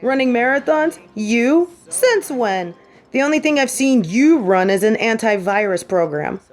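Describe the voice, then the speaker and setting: A woman's voice: on the high side, animated. A woman playing a sardonic, vengeful supervillain with a tender side for her partner, in an intimate audio roleplay. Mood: teasing, fond. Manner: teasing, sighing, halting when confessing.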